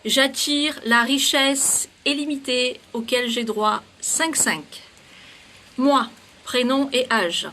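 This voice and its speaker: Bright, emphatic woman giving spiritual guidance. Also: high voice, takes it slowly, slight background noise. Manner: emphatic, lots of "hein" and "euh", commanding affirmations. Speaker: spiritual channeler. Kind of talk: spoken astrology guidance and affirmations.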